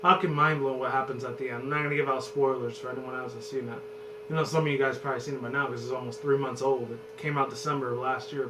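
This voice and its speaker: A man in his twenties with a hoarse voice, rambling and cursing loosely as a vlog host talking about movies. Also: mid-range voice. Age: 20s. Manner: loose and profane, rambles and restarts. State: hoarse from screaming. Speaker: channel host. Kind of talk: rambling casual movie chat. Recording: roomy, distant, noisy with hum.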